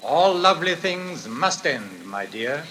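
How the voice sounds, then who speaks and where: An actor playing a courtly, vengeful strangler in a theatrical 1940s radio style. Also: male voice, fairly high pitch, very animated, slow pace, big-room sound, full character voice. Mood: suave, ominous. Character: menacing, vengeful male strangler, suave and deliberate, in a stage thriller. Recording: noisy vintage radio recording, loud sizzling hiss.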